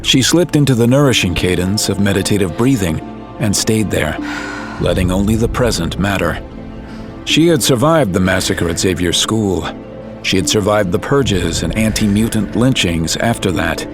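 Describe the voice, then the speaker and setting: A man narrating a story in a calm, measured, scripted way. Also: lowish voice. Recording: music under narration.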